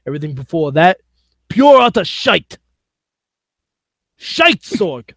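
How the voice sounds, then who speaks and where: A pompous older English gentleman, as a male podcaster puts him on delivers disdainful verdicts in a put-on English accent. The voice sits a little high. The sound is dry and close. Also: big pitch swings, slow, no room sound, exaggerated voice. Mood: haughty.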